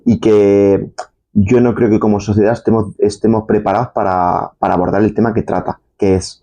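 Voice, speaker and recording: A man co-hosting a casual podcast conversation, often halting and restarting as he searches for his words. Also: lowish voice, little pitch movement, dark tone. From Castilla-La Mancha, Spain. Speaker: podcast co-host.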